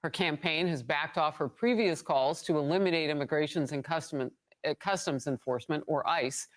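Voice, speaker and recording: A woman with a deep, low voice reads the news with crisp, measured pacing. She sounds like a broadcast anchor working from a script.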